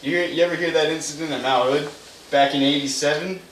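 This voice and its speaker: A man's voice: fairly high pitch, fairly even intonation, fast, fairly bright tone, close to an everyday voice. A young man playing a petty, gossipy guy who mocks others in a rambling, emphatic way, in an amateur stage play. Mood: boastful rambling. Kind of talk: amateur one-act stage play dialogue. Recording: echoey room, distant mic, hissy noise.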